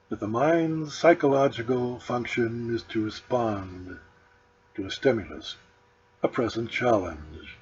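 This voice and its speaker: A man reading his own spiritual book as a scripted audiobook, with solemn, deliberate, measured phrasing and pauses. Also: medium pitch. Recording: dull lo-fi recording with background noise.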